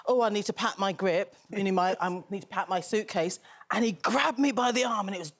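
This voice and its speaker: A woman's voice: medium pitch, brisk. A middle-aged woman author, a guest on a TV talk show, talking thoughtfully and earnestly and laughing easily. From England. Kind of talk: casual chat. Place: TV studio.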